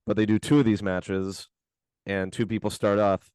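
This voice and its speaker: A man co-hosting a podcast, his voice hoarse from screaming, chatting in a laid-back, slangy, rambling way from his bedroom at home. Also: on the low side, little pitch movement, normal speed, darkish tone, no echo. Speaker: podcast co-host. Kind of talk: casual podcast chat.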